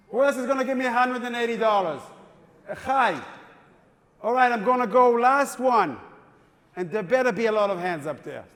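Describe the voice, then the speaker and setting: A man emceeing a live fundraising appeal in a synagogue hall, coaxing and playful with the crowd. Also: very high-pitched. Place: synagogue hall at an evening event.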